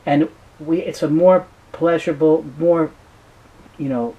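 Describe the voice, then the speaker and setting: A man being interviewed, talking casually and explaining things in a halting way with frequent restarts. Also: a little high, fairly even intonation, lo-fi sound. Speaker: business founder being interviewed.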